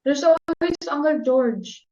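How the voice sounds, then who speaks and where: A woman's voice: high-pitched, animated, slow pace, mellow. A young woman reading the part of a young debutante daughter, plain and mildly reluctant. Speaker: reader for Elaine. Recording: dull lo-fi recording.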